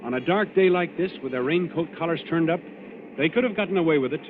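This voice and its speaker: An actor playing a resolute, level-headed young naval commander in 1940s radio drama style. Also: male voice, a little high, lively intonation, quick pace, slight background noise, natural voice. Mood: grave, firm. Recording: tinny old radio broadcast, music behind.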